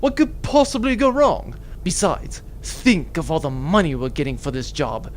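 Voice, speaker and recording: A man's voice: on the high side, sing-song, normal speed, a little hiss or hum. A man playing a cocky, glib spaceship captain in a grandiose, theatrical way for a comedic sci-fi audio drama. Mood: breezy bravado.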